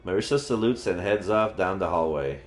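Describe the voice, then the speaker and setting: A man streaming a read-aloud of a visual novel, narrating in an expressive storytelling style. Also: low voice, moderate pace. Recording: roomy sound, faint background music.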